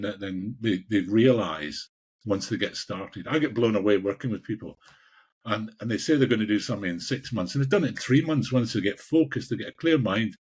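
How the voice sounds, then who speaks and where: A Scottish man in his sixties with a husky voice, answering interview questions in a passionate, emphatic way and repeating phrases for emphasis. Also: fairly low pitch. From Scotland. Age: 60s. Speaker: executive coach, podcast guest.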